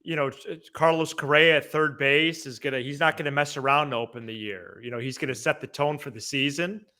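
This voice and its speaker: A man's voice: on the high side, steady tone, brisk, sharp tone. A man co-hosting a sports podcast, speaking in a casual, conversational style.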